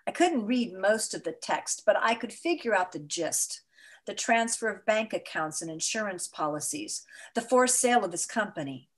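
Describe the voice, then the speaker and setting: Woman, middle-aged, literary memoir narration, measured, expressive, video call. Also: medium pitch. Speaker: actor reading a memoir. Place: indoors in front of a mottled photo backdrop. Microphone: video call webcam.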